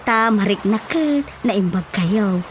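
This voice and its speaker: A woman's voice: medium pitch, steady tone, slow, slight background noise, lightly acted. A woman with an Ilocano accent playing a flirty, giggly widow in a radio comedy drama. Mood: coy, teasing.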